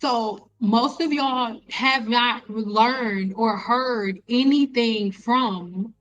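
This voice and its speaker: Emphatic high-voiced woman in her thirties. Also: extremely slow, a bit bright, dull audio. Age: 30s. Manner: emphatic, repeats phrases for emphasis. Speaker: host, hair care educator. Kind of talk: live stream lecture to viewers.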